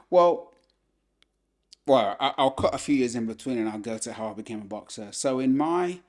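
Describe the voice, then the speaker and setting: A man, a podcast guest telling his life story in a relaxed way, filled with "you know". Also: medium pitch, moderate pace. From England. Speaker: podcast guest, former boxer. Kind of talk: casual life-story interview.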